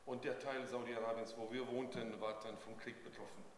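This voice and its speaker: A man's voice: medium pitch, level tone, a little fast. A man interpreting a sermon live, phrase by phrase, as a preacher's consecutive interpreter. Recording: noisy echoey hall with long reverb.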